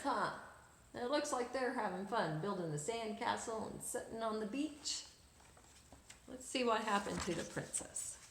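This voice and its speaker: Female storybook reader for kids: high, bright voice, unhurried and expressive, echoey room. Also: a little hiss or hum. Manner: expressive, pauses to ask listeners questions. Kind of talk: animated children's read-aloud.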